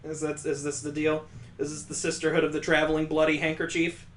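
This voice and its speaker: A man's voice: a little high, monotone, a little fast, fairly bright tone, echoey room, a little hiss or hum. A man reading a visual novel aloud, mixing dramatic narration with sarcastic comic asides. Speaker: let's play host.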